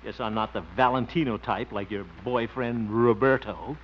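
A man's voice: medium pitch, fairly even intonation, normal speed, background noise, natural voice. An actor playing a nervous, lovestruck middle-aged man who stammers and fusses, in a 1950s radio comedy. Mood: flustered. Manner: flustered, stammering. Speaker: lead. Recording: muffled 1950s radio recording with music.

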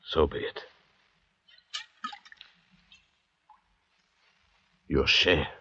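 An actor playing a cunning, controlling doctor adrift at sea in an old radio adventure drama, speaking with measured theatrical authority. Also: male voice, sharp tone, no echo, natural voice. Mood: persuasive, wary. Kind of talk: 1940s-50s radio adventure drama acting. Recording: dull vintage radio recording.

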